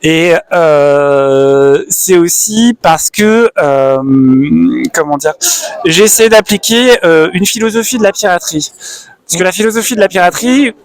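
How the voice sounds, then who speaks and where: A man's voice: sits high, lively intonation, no echo, faint music behind. A man with a slightly raised voice talks through his craft at a convention stand, taking his time. He stops often to look for the right word, then picks the thread back up. It is an easygoing, unscripted exchange. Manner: thoughtful, many pauses and fillers. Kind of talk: casual interview chat. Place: busy convention hall.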